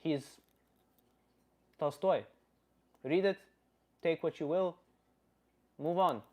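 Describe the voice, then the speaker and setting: A man playing a blunt Slavic uncle, philosophizing in halting, casual livestream talk and answering chat comments. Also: on the high side, darkish tone, muffled recording. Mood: casual, opinionated. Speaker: livestream host.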